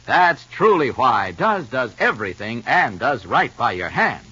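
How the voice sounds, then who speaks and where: A man's voice: medium pitch, sing-song, measured pace, sharp tone, husky. A man announcing an old radio soap commercial with an emphatic salesman's delivery. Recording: muffled old radio recording.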